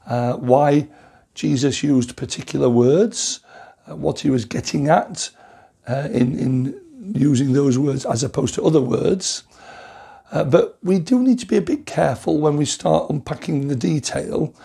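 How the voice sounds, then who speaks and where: A man with a hoarse, croaky voice from a cough, speaking as a Methodist preacher in a rambling, thoughtful recorded sermon with many pauses and restarts. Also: a little high, mellow.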